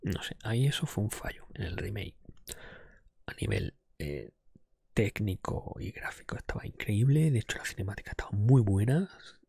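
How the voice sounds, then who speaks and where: ASMR host, male, Spain Spanish accent. Whispered, very husky and breathy with some fry, dry close-mic sound, informal opinionated chat. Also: lowish voice, no echo. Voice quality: breathy, mostly whispered, soft-spoken. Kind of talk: ASMR, whispered casual video game review. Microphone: close microphone.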